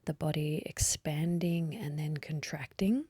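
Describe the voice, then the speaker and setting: A woman leading a guided meditation in a soft, breathy voice, gently and unhurriedly, with long pauses. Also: deep voice, dry recording. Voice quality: soft, breathy, partly whispery. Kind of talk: guided meditation, slow calm instruction.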